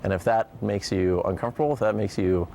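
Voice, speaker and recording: A man's voice: lowish voice, steady tone, fast, creaky, mellow. A man, an air traffic controller, explaining his job in an interview with emphatic repetition. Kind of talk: conversational interview answers.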